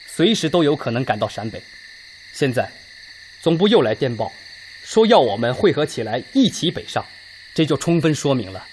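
A man playing an earnest, resolute Red Army commander in a radio drama, speaking in a measured, declamatory way. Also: medium pitch, a little hiss or hum, close to an everyday voice. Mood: assured. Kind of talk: Chinese radio drama acting.

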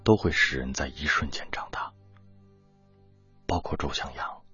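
A man's voice: extremely low pitch, unhurried, sharp tone, husky, in a whisper, exaggerated voice. A man playing a devoted volunteer teacher in a radio drama, telling his story in an earnest, reflective, emotive way. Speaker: narrator and lead character. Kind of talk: dramatic radio-play narration and dialogue. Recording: muffled radio drama under prominent music.

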